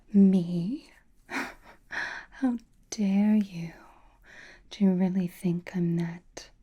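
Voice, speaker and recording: Woman playing a possessive vampire, ASMR roleplay, slow breathy whisper, soft dark tone, teasing, intimate, dryly exasperated. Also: medium pitch. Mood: teasing, seductive. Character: possessive, flirtatious vampire doting on captive vampire hunter.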